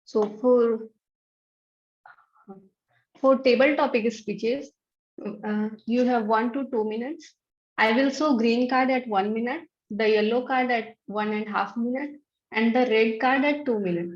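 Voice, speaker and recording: Woman reading timing rules, lo-fi. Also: a little high. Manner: brisk, procedural. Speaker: meeting timer. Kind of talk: reading guidelines. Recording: dull lo-fi online call audio.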